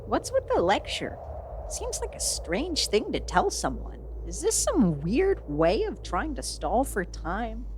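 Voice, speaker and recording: A woman's voice: a little high, a little slow, slight background noise. A woman playing a younger academy-trained mage, skeptical and easily alarmed, in a fantasy roleplay audio drama. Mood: wary, skeptical. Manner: questioning, skeptical, excitable.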